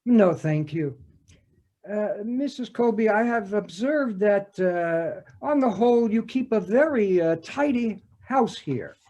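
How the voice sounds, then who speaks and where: A man plays a police detective in a comic readers theater sketch. He is formal and pompous, very slow and deliberate, with pitch that wanders widely as he picks out his wordy, hesitant phrases. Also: expressive, warm tone. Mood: probing, dry.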